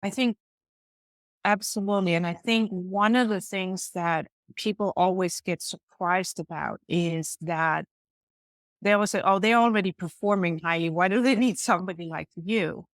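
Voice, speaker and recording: A woman's voice: fairly low pitch, moderate pace, no room sound. A middle-aged woman from Denmark, explaining her coaching work earnestly and emphatically as an interview guest. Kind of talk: conversational interview answers.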